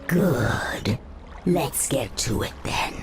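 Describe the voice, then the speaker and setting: A woman's voice: extremely low pitch, expressive, very slow, in a whisper, heavily acted. A woman playing a cunning, seductive serpent sorceress in a fantasy game, speaking in a formal, coaxing manner. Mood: urging. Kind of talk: fantasy game cutscene dialogue. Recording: dramatic music behind.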